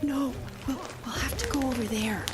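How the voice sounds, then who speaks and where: A woman's voice: sits high, expressive, normal speed. An actress playing a nervous young woman on an unclear assignment, stammering and hesitant. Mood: uneasy. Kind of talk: audio drama acting.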